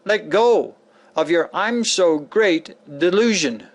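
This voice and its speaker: A man playing a solemn, admonishing teacher from the Gospels, reading a lecture dramatically with deliberate pauses. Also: high-pitched, animated, voice pushed up.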